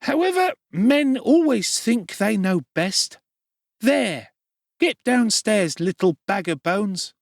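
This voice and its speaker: A man voicing a sharp, stingy older woman who speaks pettishly and with scorn. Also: high voice, sing-song, takes it slowly, creaky, no room sound, studio quality, voice pushed up, exaggerated voice.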